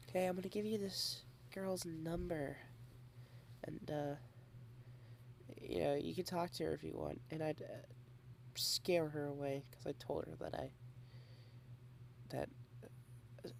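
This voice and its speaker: A young adult woman in a girlfriend ASMR roleplay, rambling shyly and softly, dropping into whispers at times. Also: fairly low pitch, vocal fry, a little hiss or hum. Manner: shy, rambling, soft-spoken with whispered asides.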